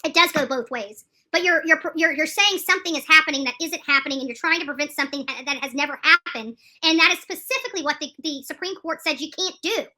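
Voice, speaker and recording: A woman with a high-pitched, piercingly bright voice comments live on legal news. She is emphatic and opinionated, hammering points by repeating them. Her delivery is halting, with frequent restarts. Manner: emphatic, repeats phrases for stress. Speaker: streamer commenting on court news.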